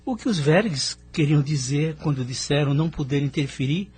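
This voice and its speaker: A man playing a courteous, earnest spirit student in an audio drama, with a husky voice and formal manner. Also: fairly high pitch, moderate pace, a little mellow, faint music behind. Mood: earnest, respectful. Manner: formal, deferential.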